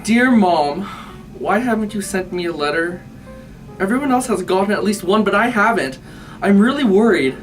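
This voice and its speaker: A woman reading a child's letter out loud. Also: medium pitch, animated, moderate pace. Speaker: staff member reading aloud. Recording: distant mic with faint guitar music. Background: faint guitar music.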